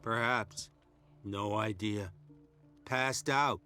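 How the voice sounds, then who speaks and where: An actor playing a dazed, injured island man in a video game, muttering and rambling in a halting, confused way. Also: fairly low pitch, sharp tone, soft background music, heavily acted. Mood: dazed, evasive. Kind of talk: video game cutscene acting.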